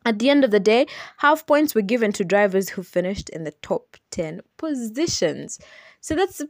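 A young adult woman with a Ugandan accent, hosting a solo podcast and explaining things in an unhurried way with some hesitant fillers. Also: mid-range voice, a little mellow, no room sound, clean studio sound. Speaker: podcast host.

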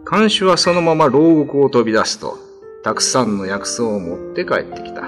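A man's voice: medium pitch, big pitch swings, moderate pace, over music. A man reading scripted narration for a classic ghost story radio drama.

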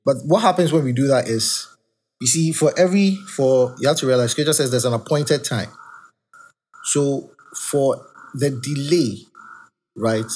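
Chatty husky man musing on faith. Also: normal speed, a little mellow, low-quality recording. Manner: rambling, self-correcting, chatty; talks of his high-pitched voice. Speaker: podcast host. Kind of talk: casual faith reflection.

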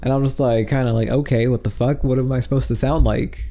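A man's voice: mid-range voice, steady tone, fast talker, warm tone. A young man chatting casually on a podcast over a headset mic, rambling with lots of fillers and swearing freely. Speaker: podcast co-host. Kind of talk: casual chat.

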